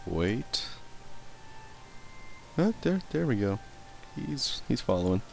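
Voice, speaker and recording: A man's voice: medium pitch, big pitch swings, slow pace, gravelly, a little mellow, soft background music. A man doing casual let's play commentary, rambling, swearing casually and stumbling over hard words as he reads. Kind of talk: casual gameplay commentary and reading in-game text aloud.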